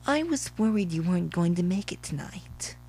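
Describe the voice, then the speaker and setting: A man's voice: sits high, sing-song, moderate pace, warm tone, a little hiss or hum, pitched above the performer's own voice. A man voicing a clingy, pushy male pony suitor in a story, drawing his words out suggestively. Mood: flirty, eager.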